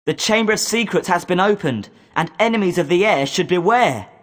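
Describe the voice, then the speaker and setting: A man's voice: high voice, expressive, fairly quick, fairly bright tone. A male actor playing a smug, rivalrous schoolboy at a wizard school in scripted video game lines. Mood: menacing.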